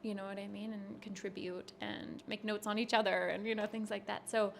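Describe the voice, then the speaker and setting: A woman in her thirties being interviewed backstage, answering in a relaxed, rambling way and laughing easily. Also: mid-range voice, fairly quick, creaky, a little hiss or hum. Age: 30s. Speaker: touring bassist guest. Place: backstage at a concert venue.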